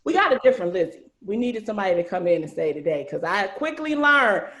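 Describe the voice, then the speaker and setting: A woman hosting a casual group chat on a video call, talking in an animated, slangy, conversational way. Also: mid-range voice, fast talker. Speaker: host, narrator and writer of an audio series. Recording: roomy echo on a video call.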